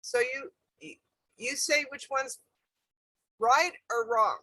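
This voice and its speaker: A woman with a high, animated, bright voice speaks very slowly on a video call, giggling often. The sound is dry and close. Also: vocal fry, no room sound, poor audio. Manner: slow, giggly. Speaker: musician.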